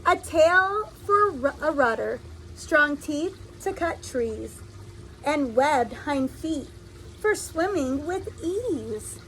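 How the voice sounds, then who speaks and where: A woman reading a children's story aloud in an expressive, deliberate storytelling style, like a teacher addressing young listeners. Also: high voice, a little hiss or hum, rough recording.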